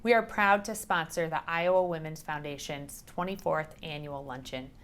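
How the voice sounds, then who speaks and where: A woman's voice: deep voice, moderate pace, a bit bright. A woman bank executive gives a polished, formal introduction of the next speaker.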